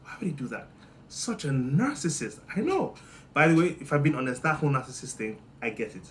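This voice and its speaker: A man from Nigeria with a Nigerian accent, giving a joking monologue that swings between loud bursts and low muttering. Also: sing-song, brisk, slight background noise. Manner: playful, teasing, jumps between loud and muttered. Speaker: monologue host. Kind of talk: comedic monologue.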